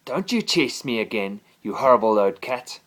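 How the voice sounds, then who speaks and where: A man's voice: mid-range voice, big pitch swings, normal speed, a bit bright. A man voicing a mocking, cowardly bully boy in a children's story, yelping and jeering.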